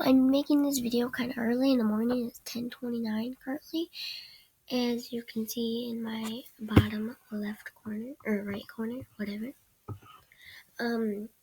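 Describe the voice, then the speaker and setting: A woman's voice: on the high side, slightly rough, rough recording. A sick teenage girl who is losing her voice, giving hesitant, rambling commentary while she plays a game on her computer. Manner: hesitant and rambling, often trailing into soft mumbles. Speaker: gaming channel host. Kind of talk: casual gameplay commentary. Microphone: computer microphone during screen recording. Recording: loud background noise with clicks.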